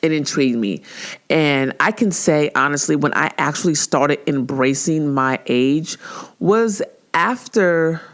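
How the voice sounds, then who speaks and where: A woman in her forties talks through her own podcast in a loose, casual monologue. She is chatty and animated, laughs easily and often restarts her sentences. When she acts out what someone said, her voice jumps far higher. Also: a little slow. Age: 40s. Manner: laughs easily, with frequent filler words and restarts. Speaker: podcast host.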